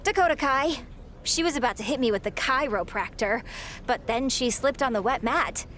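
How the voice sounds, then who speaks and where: A woman's voice: sits high, moderate pace, a bit bright, slight background noise. An actress playing a chatty, friendly young female wrestling rival in a video game, casual and lightly playful. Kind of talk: scripted video game cutscene acting.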